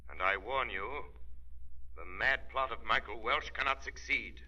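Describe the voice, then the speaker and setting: An actor playing an invisible avenger, eerie, stern and taunting, with a filtered voice and ominous radio delivery. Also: male voice, mid-range voice, bright, forceful tone. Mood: menacing. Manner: ominous, deliberate, mocking pronouncements. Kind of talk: 1940s radio acting. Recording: muffled vintage radio recording.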